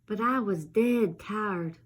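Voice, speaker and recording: A woman's voice: medium pitch, takes it slowly, slightly rough. A woman voicing a little zombie ballerina girl, dramatic and eager, in a theatrical children's storybook reading. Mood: proud, content.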